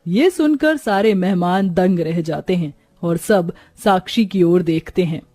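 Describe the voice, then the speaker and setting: A woman's voice: fairly low pitch, unhurried, dark tone, soft background music. A woman narrating a moral story in a scripted storytelling style.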